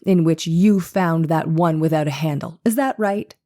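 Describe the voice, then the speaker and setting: A woman voicing a methodical 1890s male defense attorney in formal, deliberate cross-examination, in a dramatized trial reading. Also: deep voice, dark tone, close to an everyday voice. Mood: probing, formal. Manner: formal, deliberate, probing questioning. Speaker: voice actor reading a lawyer's part.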